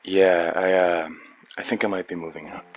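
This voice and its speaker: Low-voiced, earnest young painter, acted by a man. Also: steady tone, moderate pace, highs cut off, soft background music, lightly acted. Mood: awkward, hurt. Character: earnest, lovestruck young painter, a friendly new neighbour. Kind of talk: radio play acting. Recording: faint background hiss.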